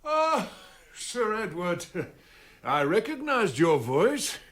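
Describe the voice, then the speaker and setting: An actor playing an obliging, slightly flustered shopkeeper in a radio drama, hesitant and deferential, with a British accent. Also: male voice, high voice, very animated, takes it slowly, fairly bright tone, exaggerated voice. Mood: polite, flustered. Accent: British English.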